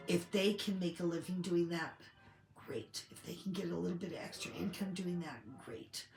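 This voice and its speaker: A woman co-hosting a commentary show, getting over a cold and slightly hoarse, giving her opinions in a measured, thinking-aloud way. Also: on the low side, fairly bright tone, reverberant. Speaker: co-host of a TV commentary channel.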